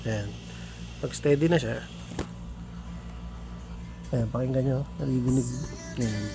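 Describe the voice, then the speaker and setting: Casting: Filipino-accented man for informal product walkthrough, fairly low pitch, gravelly edge, unscripted delivery. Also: slow, over music. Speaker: product tutorial presenter. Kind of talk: casual hands-on product demonstration. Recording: clearly noisy background.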